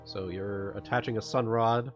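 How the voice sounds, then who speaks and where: A man's voice: fairly low pitch, steady tone, moderate pace, faint music behind. A man acting as game master, narrating casually and often repeating or rephrasing himself as he goes.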